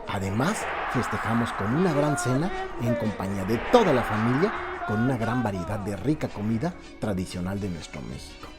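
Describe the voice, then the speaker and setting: A man with a Mexican accent tells a scripted radio tale over prominent background music. His fairly low voice swings widely in pitch, and he speaks theatrically, straight to his listeners. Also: sing-song, brisk. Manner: theatrical, addresses listeners directly. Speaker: narrator and host of a true-crime radio serial. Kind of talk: dramatic scripted storytelling narration.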